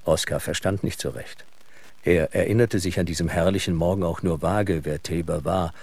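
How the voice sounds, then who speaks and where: A man narrating a dark thriller as the scripted storyteller of an audio drama. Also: sits low, fairly even intonation, normal speed. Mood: measured, brooding. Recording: clear background noise.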